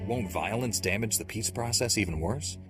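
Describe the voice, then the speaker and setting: A heroic Jedi Knight acted by a man with a very low voice. He is stoic and serious in game dialogue, with faint music underneath. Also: normal speed, lightly acted. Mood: grave. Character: earnest, stoic young male Jedi Knight hero. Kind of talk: video game cutscene acting.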